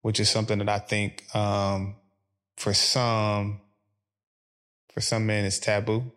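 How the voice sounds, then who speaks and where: A man from Philadelphia, speaking as a podcast guest in a calm, measured way with frequent pauses. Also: on the low side, smooth. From Philadelphia, USA. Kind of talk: reflective interview conversation.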